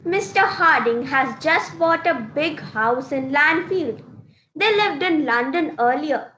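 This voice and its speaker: A woman acts out a strict mother in a classroom play. Her voice is high and her delivery slow and deliberate, with firm, instructive phrasing. The sound is roomy and echoing. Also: expressive, a bit bright, heavily acted. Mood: explaining. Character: strict, advising mother of a talkative young girl. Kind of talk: classroom reader's theater dialogue.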